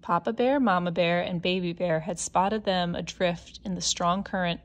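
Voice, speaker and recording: A woman's voice: lowish voice, moderate pace. A woman reading a children's picture book aloud as a scripted narration. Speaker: storybook reader.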